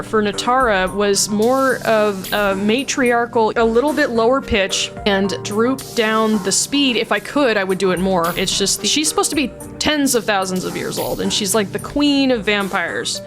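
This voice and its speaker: A woman's voice: medium pitch, moderate pace, hardly any pauses, over music. A woman casually talking through her voice mod work, chatty and blunt, laughing often. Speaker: voice mod creator. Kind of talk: casual commentary.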